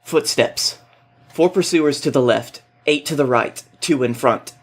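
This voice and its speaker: A man with a medium-pitched voice speaks as a guest on a podcast. His delivery is casual, suited to both chatting and reading a story aloud. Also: unhurried.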